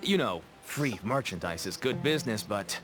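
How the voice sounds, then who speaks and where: A man's voice: on the low side, expressive, moderate pace, natural voice. An actor playing a nervous, ingratiating merchant father with a shady trade in a video game. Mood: apologetic. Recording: game music behind the voice.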